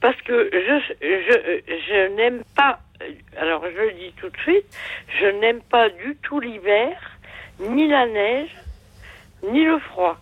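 Caller: woman, 60s, slow, meandering talk full of fillers, dull phone-line sound. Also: on the high side, expressive, husky, no room sound. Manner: rambling, hesitant, many fillers. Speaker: radio caller. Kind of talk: phone-in chat.

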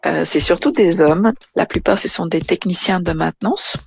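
A woman's voice: on the low side, normal speed, vocal fry, slightly rough, soft background music. A woman from Brazil, an engineering manager answering interview questions hesitantly with many fillers and restarts.

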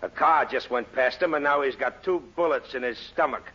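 An actor playing a tough, impatient American gangster henchman in a 1940s radio comedy, gruff and threatening, with a gravelly voice. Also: mid-range voice, a little fast, pushed and bright. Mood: menacing. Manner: threatening, slangy, barking orders. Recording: noisy, dull old radio recording.

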